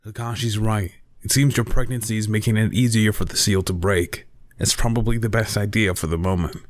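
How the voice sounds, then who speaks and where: A man's voice: on the low side, fairly even intonation, brisk, dry recording, studio quality, lightly acted. An actor playing an earnest, protective and resolute young male ninja in an audio drama. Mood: firm, reasoning. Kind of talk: fan audio drama acting.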